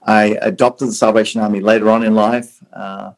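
A man's voice: lowish voice, little pitch movement, normal speed, gravelly. A middle-aged man with an Australian accent, born in South Africa, answering interview questions. He speaks conversationally, often restarting and repeating words. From born in South Africa, raised in Australia. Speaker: Salvation Army officer, podcast guest.

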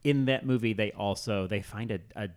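A man co-hosting a casual pop culture chat, speaking in a halting way peppered with "like". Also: fairly low pitch. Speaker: podcast co-host.

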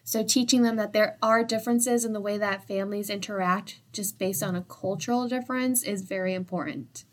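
A woman's voice: mid-range voice, steady tone, a little fast. Young woman talking loosely, lots of like's, casual co-host chat. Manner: conversational, with frequent "like" fillers. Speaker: co-presenter. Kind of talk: casual discussion.

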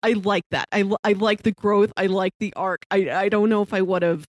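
A woman's voice: mid-range voice, steady tone, fairly quick, dry recording. A woman co-hosting a podcast, discussing TV casually and expressively, acting out imagined quotes as she goes. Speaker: podcast co-host.

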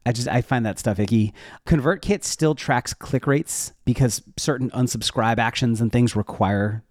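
A man's voice: mid-range voice, normal speed, darkish tone, no echo. Casual man explaining things, dry close sound. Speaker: interview guest, web developer and educator. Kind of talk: casual explanatory interview talk.

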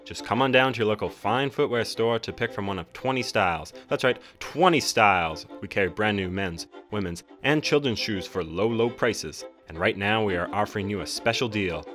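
A man's voice: fairly low pitch, animated, normal speed, few pauses, lightly acted. A man playing an upbeat, pushy salesman in a scripted old-time radio advertisement. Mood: upbeat, selling. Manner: emphatic salesmanship. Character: upbeat, pushy shoe-store pitchman. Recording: music behind the voice.

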